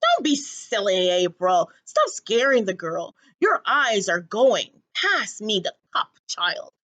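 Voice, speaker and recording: Woman doing a haughty, slow-spoken old stage lady. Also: medium pitch, wildly swooping pitch, bright, forceful tone, heavily acted, rough recording. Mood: scolding. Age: older. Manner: emphatic, slow, theatrical. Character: haughty, scolding elderly retired actress, knitting and snorting.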